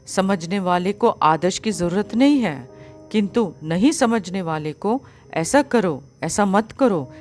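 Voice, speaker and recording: A woman narrates a spiritual text at a very unhurried pace. Her voice sits slightly low, and her delivery is measured, formal and instructive. Soft music plays faintly behind her reading. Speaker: audiobook reader of a spiritual book. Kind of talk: scripted narration of a religious discourse.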